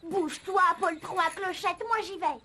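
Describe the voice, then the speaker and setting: A bold young cartoon girl, talks in a high, brisk voice. She is bossy and determined, an adventurer who takes the lead. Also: fairly even intonation, a bit bright, slight background noise. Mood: teasing, insistent. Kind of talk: French cartoon dubbing.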